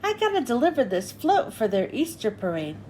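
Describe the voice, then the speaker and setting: A woman voicing a gruff male truck driver. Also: on the high side, sing-song, brisk, a little mellow, slight background noise. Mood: hurried.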